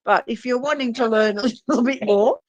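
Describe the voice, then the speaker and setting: A woman's voice: fairly high pitch, fairly quick. A woman co-hosting a casual livestream, chatting and making announcements. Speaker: co-host.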